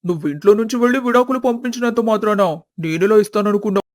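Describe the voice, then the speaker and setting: Bullying young husband, played by a man, storybook drama: high-pitched, arrogant, taunting, close dry sound. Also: fast, dry recording, natural voice. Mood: sneering, threatening. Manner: taunting, menacing.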